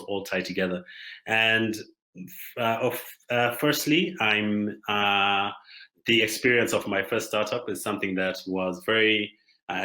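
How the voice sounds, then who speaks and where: A man from Zimbabwe giving a conference talk as a startup founder, speaking haltingly with many pauses and fillers. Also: fairly low pitch, bright, forceful tone, reverberant. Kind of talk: conference presentation.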